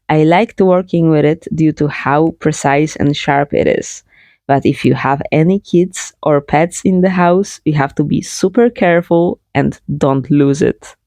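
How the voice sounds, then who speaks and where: A woman's voice: sits low, dark tone, dry recording. Woman reviewing crochet kits. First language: Polish. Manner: thoughtful, hedging, plain-spoken. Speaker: crochet channel host. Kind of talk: product review vlog.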